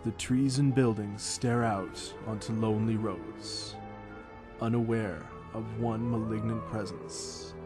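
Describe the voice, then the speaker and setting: A man's voice: on the low side, exaggerated voice. A man playing an ominous narrator in a scripted horror radio play. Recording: music under the voice.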